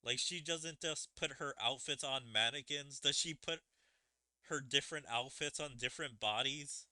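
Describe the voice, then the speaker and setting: A man's voice: mid-range voice, moderate pace, sharp tone, no room sound. A man streaming a game, analytically puzzling aloud over the story and its wording as he plays. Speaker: gaming streamer. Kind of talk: let's play commentary, reading dialogue aloud. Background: video game music.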